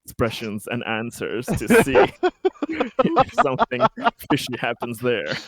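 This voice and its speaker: Animated man narrating his game character. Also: mid-range voice, slow pace, no echo. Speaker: tabletop game player. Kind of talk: casual narration of own character's actions.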